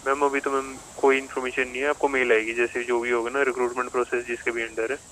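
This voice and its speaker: A North Indian man answers an enquiry on an office helpline. He talks quickly in a flat, even tone and repeats himself, often saying madam. The phone line is tinny, with clear noise behind him. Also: mid-range voice. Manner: repetitive, says madam often. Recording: noisy, tinny phone call recording.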